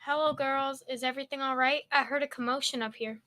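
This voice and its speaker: A woman's voice: high-pitched, steady tone, fairly quick, sharp tone. A woman playing a fussy, nosy landlady in an old-time radio comedy style, away from the microphone. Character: fussy, shrill Irish landlady, vain and nosy.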